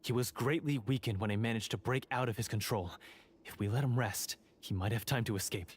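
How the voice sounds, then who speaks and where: An actor playing a remorseful young knight in a video game, speaking earnestly. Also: male voice, medium pitch, slightly rough, close to an everyday voice. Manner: earnest, measured. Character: remorseful young male knight seeking redemption. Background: game music.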